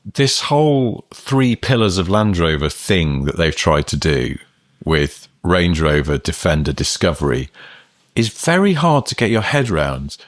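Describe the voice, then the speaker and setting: Low-voiced man musing in casual chat. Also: big pitch swings, a little slow, no room sound, clean studio sound. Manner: musing, rambling, sort-of hedging. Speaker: podcast co-host.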